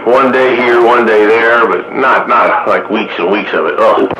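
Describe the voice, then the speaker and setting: A man's voice: fairly low pitch, steady tone, unhurried, dull audio. A man chatting casually on an amateur radio hangout, with a roomy echo and static around the voice. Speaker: radio net regular. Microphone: two-way radio setup.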